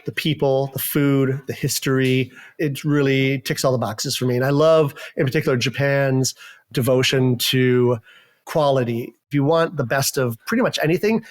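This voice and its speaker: A man from the United States, a guest in a casual podcast interview. His voice sits at a middle pitch, and he explains in a relaxed, easygoing way. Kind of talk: conversational interview.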